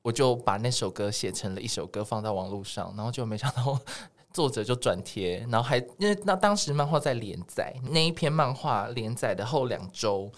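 A man with a Taiwanese Mandarin accent chatting casually as an interview guest, in an animated manner. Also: mid-range voice, fairly quick. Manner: animated, breaks often into laughter. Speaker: podcast guest, music producer.

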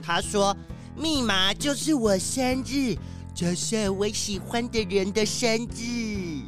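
A woman's voice: mid-range voice, slow, music in the background, close to an everyday voice. A woman playing a blunt, cheeky, scornful animal schoolkid in a children's radio drama. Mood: scornful, exasperated.

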